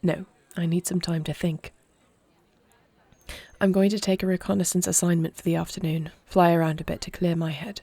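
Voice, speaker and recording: The battlefront queen in a fantasy audio roleplay acted by a woman, formal and headstrong in a lowish register, heard up close with no room around it, as if in your headphones inside her command tent. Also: flat delivery, moderate pace, vocal fry, dark tone, husky, no echo. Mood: troubled, resolute. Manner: formal, regal phrasing. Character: dragon-shifter princess, newly wed, dutiful, proud, headstrong warrior. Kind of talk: scripted audio roleplay acting, one side of a dialogue.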